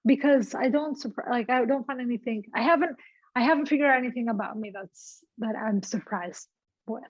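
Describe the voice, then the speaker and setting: A woman's voice: fairly high pitch, a little fast, breathy edge, no echo. A woman with a light Greek accent answering an interview question hesitantly, searching for words. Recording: dry, slightly muffled.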